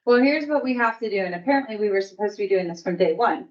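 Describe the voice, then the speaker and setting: A woman's voice: mid-range voice, expressive, fast talker, a little mellow. A woman presenting at a provider meeting in an upbeat, encouraging way. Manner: upbeat and encouraging, laughs easily. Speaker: early learning coalition staff presenter. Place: meeting room. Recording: dull sound, distant from the mic.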